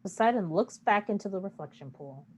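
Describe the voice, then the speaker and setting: A woman's voice: mid-range voice, lively intonation, a little fast, breathy edge. A woman narrating a play, reading scripted stage directions.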